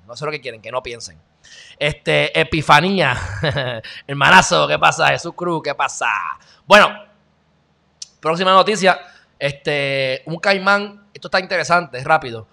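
A man's voice: fairly high pitch, bright, forceful tone. A young man with a Puerto Rican accent hosting a casual live-stream news chat, sarcastic and greeting viewers by name. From Puerto Rico. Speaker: channel host.

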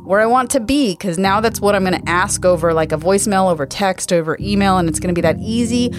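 A woman's voice: medium pitch, fast talker, soft background music. A woman hosting a coaching podcast, talking informally in a slangy, emphatic, conversational way. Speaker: podcast host and car sales coach.

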